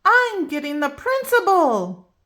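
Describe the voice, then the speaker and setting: A woman voicing a flustered female schoolteacher in a children's storybook, shrill and exasperated. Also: wildly swooping pitch, slow, pushed and bright, full character voice.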